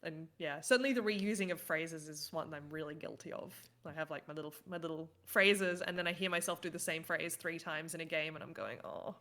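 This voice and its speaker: A woman's voice: low-pitched, a little fast, few pauses, bright, forceful tone. A woman guest on a podcast, chatting casually, laughing often and acting out her own reactions, with some "uh" and "um" fillers. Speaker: podcast guest, card game streamer. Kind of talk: casual chat.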